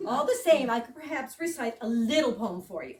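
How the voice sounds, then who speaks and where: A woman's voice: fairly high pitch, lively intonation, brisk, darkish tone, roomy sound, distant mic, close to an everyday voice. A woman playing a stubborn, earnest housemaid poet in an amateur readers theater absurdist comedy, with a theatrical delivery. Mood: solemn, insistent.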